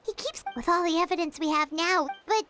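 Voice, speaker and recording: A woman voicing a small, excitable, cheeky and suspicious female fairy companion in a video game, who exclaims a lot. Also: very high voice, a little fast, faint music behind. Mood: suspicious, indignant. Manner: speaks of self in third person, exclamatory.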